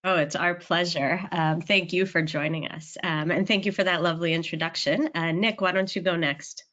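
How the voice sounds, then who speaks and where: A woman's voice: fairly low pitch, fairly even intonation, moderate pace, a bit bright. A woman moderating a business webinar, upbeat and friendly, with frequent ums. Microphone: video call. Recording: muffled video call audio.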